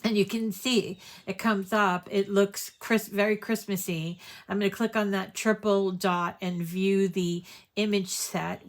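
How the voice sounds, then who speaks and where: A woman leads a craft tutorial in a relaxed, drawn-out way, stuffed up from a cold. She rambles and fills pauses as she goes. Her pitch sits in an ordinary middle range. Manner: chatty, rambling with fillers. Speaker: crafting show host.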